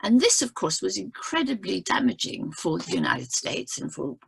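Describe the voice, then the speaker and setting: A woman's voice: mid-range voice, big pitch swings, moderate pace, gravelly, a bit bright, husky. An older woman from South Africa, an economist explaining with emphatic storytelling on a video call, often checking in with "right?". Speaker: economist speaking on a webinar panel. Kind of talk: impassioned explanatory talk.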